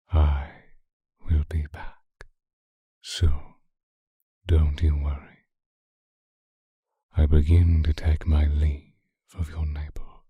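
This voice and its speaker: An extremely low, dark-toned male vampire, acted by a man, murmurs seductively right at the microphone in an ASMR roleplay. His voice is very breathy and husky, often sinking to a whisper. He is possessive and teasing, taking his time with each phrase. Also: dry recording, exaggerated voice. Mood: soft, possessive. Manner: slow, teasing, deliberate. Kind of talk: intimate ASMR roleplay monologue addressed to listener. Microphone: close microphone, dry.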